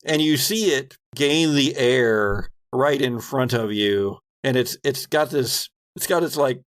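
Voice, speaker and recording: A man running a horror tabletop game as game master, narrating in a deliberate, descriptive way with pauses mid-sentence. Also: medium pitch, fairly bright tone, no echo.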